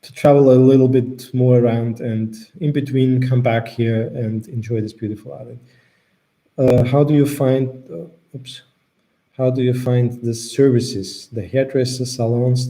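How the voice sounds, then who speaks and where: Relaxed Austrian man, mellow voice. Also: medium pitch, moderate pace, rough recording. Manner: relaxed, hesitant, restarts sentences. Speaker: co-host of a travel Q&A. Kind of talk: casual live chat.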